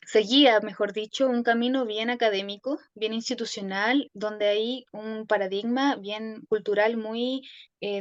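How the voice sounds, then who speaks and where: A young woman with a Chilean accent, thoughtfully telling her own story as a guest, with many pauses and restarts. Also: medium pitch, dry recording, dull audio. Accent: Chilean Spanish. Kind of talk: reflective personal storytelling.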